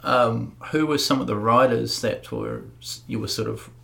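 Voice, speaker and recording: A man's voice: medium pitch, wildly swooping pitch, normal speed, gravelly, a bit bright. A man hosting an interview, chatting in a laid-back, casual way with everyday slang. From New Zealand. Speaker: podcast host.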